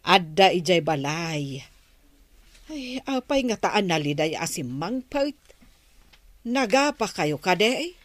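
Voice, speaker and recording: A woman acting an older Filipino mother in a radio drama, patient, concerned and gently scolding, with a Filipino accent. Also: lowish voice, big pitch swings, slow pace, long pauses, no room sound, full character voice.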